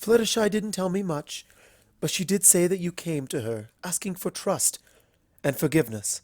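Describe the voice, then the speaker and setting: A narrator voicing a refined, earnest female unicorn pony with formal, measured, deliberate speech in a dramatic story reading. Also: medium pitch, darkish tone, no echo, clean studio sound, lightly acted. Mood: grave, composed. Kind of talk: dramatic fan fiction reading.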